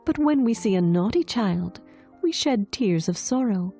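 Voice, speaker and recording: Solemn, kindly fairy-tale spirit, woman acting, dark breathy tone, light music behind. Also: medium pitch, expressive, a little slow. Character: gentle, solemn airy spirit.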